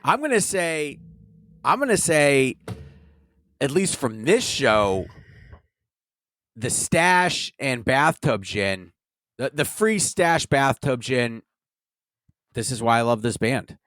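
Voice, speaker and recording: A man's voice: mid-range voice, takes it slowly, long pauses, dry recording. Easygoing podcast guy, bouncy intonation, dead dry mic. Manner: laid-back, lively intonation. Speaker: podcast co-host. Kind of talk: casual fan chat.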